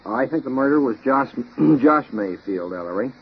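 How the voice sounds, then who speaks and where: A man speaking as a radio quiz guest, formal, careful and halting. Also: mid-range voice, animated, breathy edge. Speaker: guest quiz panelist, charity executive. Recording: muffled, noisy 1930s radio recording.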